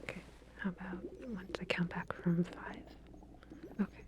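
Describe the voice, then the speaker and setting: Softly whispering woman in an ASMR roleplay. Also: lowish voice, flat delivery, moderate pace, dull audio. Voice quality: breathy whisper. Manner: gentle, hesitant, procedural instructions. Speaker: ASMR roleplayer playing a medical researcher.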